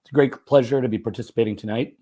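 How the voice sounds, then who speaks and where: Hoarse man reading fiction aloud. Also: mid-range voice, steady tone, very fast, dark tone. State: slightly hoarse from a cold. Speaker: writer and teacher reading own short story. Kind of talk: literary reading of first-person fiction.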